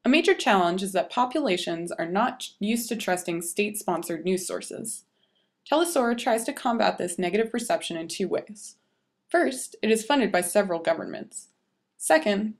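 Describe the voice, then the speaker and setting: A woman narrates from a written script in a medium-pitched voice. She presents the material as an explanatory narrator. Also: animated, normal speed.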